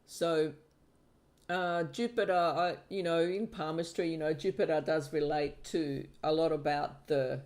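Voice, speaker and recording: A woman's voice: deep voice, steady tone, a little slow, a little mellow. A middle-aged woman from Finland who teaches palmistry, chatting casually on her livestream in a hesitant way, with many fillers and restarts. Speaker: palmistry teacher and channel host.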